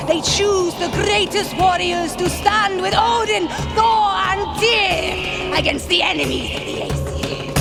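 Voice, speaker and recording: A woman playing a mystic seeress in a video game, speaking in a solemn, incantatory and prophetic way. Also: high-pitched. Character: mystic seeress, prophetic and commanding, cryptic counsel. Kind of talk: dramatic video game acting. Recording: loud music under the voice.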